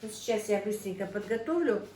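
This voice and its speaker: A woman's voice: medium pitch, expressive, fairly quick, mellow, roomy sound, far from the mic, a little hiss or hum. Chatty middle-aged woman. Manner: chatty, keeps calling the viewers friends. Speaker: cooking vlogger. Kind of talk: casual cooking vlog. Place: home kitchen.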